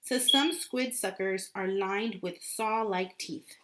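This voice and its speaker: A woman's voice: mid-range voice, slow pace, a bit bright, slight background noise. A woman teacher reading a book aloud as a lesson, repeating key points and adding simple explanations for children.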